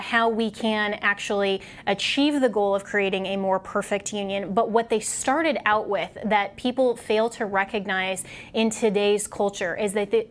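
Woman host on a talk show, earnest and articulate, mid-pitched voice, chatty but makes her case. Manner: articulate, earnest, conversational with small laughs. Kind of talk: political talk show discussion.

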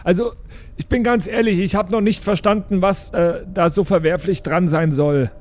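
A man's voice: sits high, steady tone, normal speed, a little mellow, natural voice. A man playing a blunt, easygoing adventurer in an improvised tabletop audio play, talking colloquially in a conciliatory, questioning way. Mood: frank. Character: blunt, easygoing male adventurer, placating and curious.

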